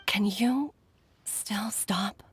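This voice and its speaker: Earnest, caring doctor. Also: female voice, mid-range voice, extremely slow, a little hiss or hum. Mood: worried, pleading. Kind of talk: video game voice acting.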